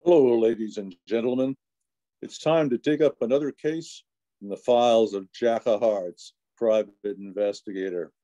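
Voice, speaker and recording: Man playing a mellow old-time radio announcer. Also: fairly low pitch, normal speed, muffled recording, lightly acted. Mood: upbeat, presenting. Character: brisk male radio show announcer.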